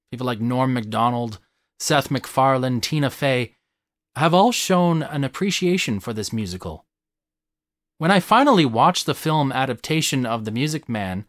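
A man's voice: mid-range voice, dry recording. Man narrating a video essay, dry close sound.

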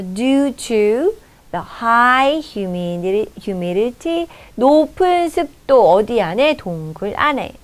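A woman in her thirties teaching an English lesson in a studio, reading the English text slowly and clearly and then explaining it in Korean. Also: sits high. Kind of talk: video lesson explaining a news article. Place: studio in front of a projected screen.

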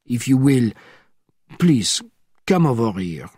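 A man reading an audiobook, voicing a huge, menacing informant who speaks in a measured, formal way. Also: mid-range voice, very animated, darkish tone, husky, dry recording, studio quality, heavily acted.

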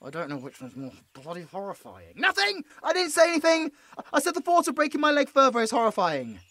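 British male hunter a man acting, frightened captive with a sarcastic streak; stammering, very animated delivery, dry recording. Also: very high voice, fast talker, dry recording, heavily acted. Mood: anxious, pleading, then panicked, placating. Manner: stammering, pleading, with muttered sarcastic asides. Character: frightened, sarcastic injured young monster hunter, placating his captor. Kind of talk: audio roleplay acting.

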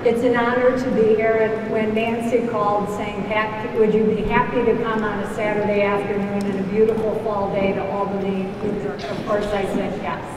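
Woman, keynote speaker, relaxed talk, long reverb, noisy hall. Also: mid-range voice, normal speed, few pauses. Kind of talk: keynote address. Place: large hall with round tables. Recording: echoey hall, distant mic, background noise.

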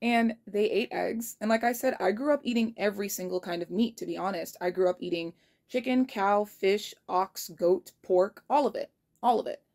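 A young woman in her twenties talking casually to her phone in a kitchen, earnest and frank, reflecting out loud. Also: mid-range voice, normal speed. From United States. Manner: earnest and reflective, frank, spells out numbers carefully. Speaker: vlogger. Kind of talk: casual diary vlog. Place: kitchen indoors. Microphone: phone held low at arm's length.

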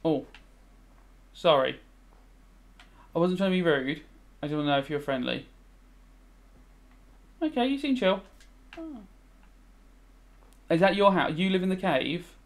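A man's voice: fairly high pitch, animated, measured pace, pauses often. A British man in his thirties, a teacher giving casual revision commentary while gaming, rambling and thinking aloud as he goes. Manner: rambling, thinking aloud, switching between explaining and musing. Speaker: religious studies teacher.

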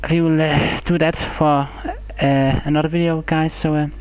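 A person giving casual let's play commentary as a gaming channel host, in a halting, hesitant way. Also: medium pitch, little pitch movement, darkish tone, clear, resonant tone, rough recording.

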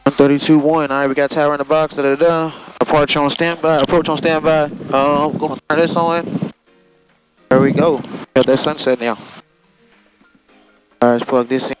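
A man's voice: a little high, steady tone, moderate pace, over music, rough recording. A young American man talking casually and making pilot radio calls through an aviation headset in a small plane cockpit. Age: young adult. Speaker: private pilot and vlogger.

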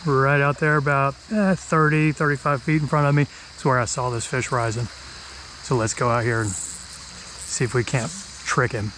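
A middle-aged man narrating a fly tying tutorial in a relaxed, chatty way, thinking aloud as he works. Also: medium pitch, normal speed, slight background noise, low-quality recording. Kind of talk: casual instructional narration. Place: outdoors on a wide river.

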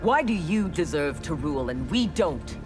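A woman's voice: sits low, sing-song, normal speed. A woman playing a proud, cold female ninja warrior and engineer in a video game, speaking in curt, threatening lines. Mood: proud defiance. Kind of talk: video game fight intro dialogue. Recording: music behind.

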